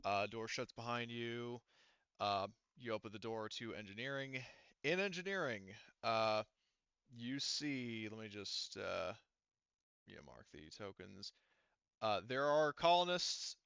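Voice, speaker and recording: A man narrating a tabletop game as game master, casually and haltingly, with informal, sweary phrasing. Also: medium pitch, a bit bright, clean tone, no echo, highs cut off.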